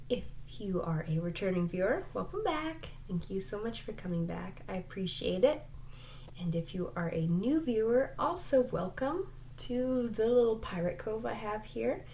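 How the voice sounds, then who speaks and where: A woman's voice: a little high, animated, normal speed, darkish tone, slight background noise. A woman hosting her crafting podcast in casual vlog chat, her voice stuffy and a little nasal from a cold, chatty and self-deprecating with lots of ums and likes. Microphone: desk microphone.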